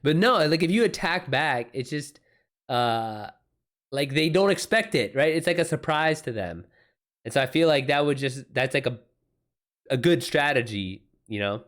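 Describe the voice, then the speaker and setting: A man livestreaming in a casual chat style, talking informally and slangily with animated reactions to his viewers. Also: mid-range voice, moderate pace. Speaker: live streamer and author answering viewer questions.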